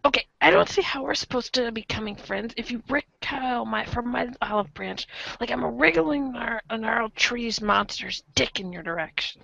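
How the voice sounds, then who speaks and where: A woman's voice: a little high, moderate pace, creaky, few pauses, a bit bright, no echo, highs cut off, heavily acted. A woman voicing a brash, exasperated, foul-mouthed alien troll in amateur readers' theater. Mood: grudging. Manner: exasperated, insulting.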